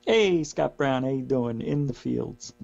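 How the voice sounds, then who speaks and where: A hoarse middle-aged man talking as a guest in casual radio chat, rambling with restarts as he loses his voice. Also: medium pitch, animated, a little slow, darkish tone. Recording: dull lo-fi line with mains hum.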